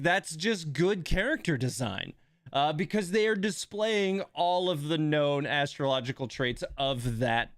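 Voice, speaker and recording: A man's voice: on the high side, a bit bright, no echo, studio quality. A man giving casual live stream commentary on a game in a rambling, amused, conversational way.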